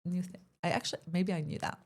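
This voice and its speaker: A woman's voice: on the low side, fairly even intonation, fast. A woman co-hosting a podcast, asking questions in a teasing, laughing way. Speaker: podcast co-host. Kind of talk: casual podcast conversation.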